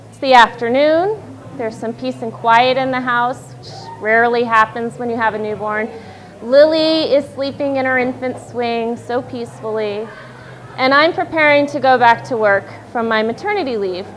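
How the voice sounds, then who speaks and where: A woman in her thirties telling a wry, self-deprecating story on stage at a live storytelling night, in a loud voice. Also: sits high, low-quality recording.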